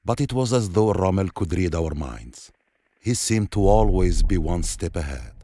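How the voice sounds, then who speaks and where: An actor playing a weary, dispirited British army officer, narrating a war game story with a British accent in a somber, measured way. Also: male voice, deep voice, animated, warm tone. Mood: grim, disillusioned. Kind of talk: reflective scripted game narration.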